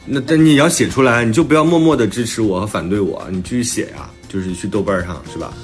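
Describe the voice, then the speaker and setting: A man's voice: on the low side, quick pace. A man speaking as an author in a casual book talk, explaining his thinking in a reflective way. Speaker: author and podcast host discussing their own book. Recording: music playing behind the talk. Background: background music.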